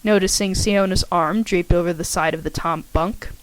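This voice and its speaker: A woman's voice: lowish voice, steady tone, normal speed, no room sound. Woman reading her own fanfiction aloud. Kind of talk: podfic story reading.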